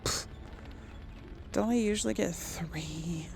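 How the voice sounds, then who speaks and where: Gamer lady doing chill let's play commentary, kinda slow, lots of vocal fry, musing to herself. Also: on the low side, a little mellow, a little hiss or hum. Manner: musing, conversational, talking herself through choices. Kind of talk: casual gameplay commentary, thinking aloud.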